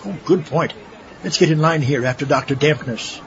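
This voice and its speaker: A man's voice: fairly high pitch, moderate pace, muffled recording, crowd noise, background noise, natural voice. A man playing a gleeful, gloating mad scientist in a comedic audio drama. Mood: breezy confidence. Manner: gloating, cackling. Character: gleefully villainous mad scientist building a time machine.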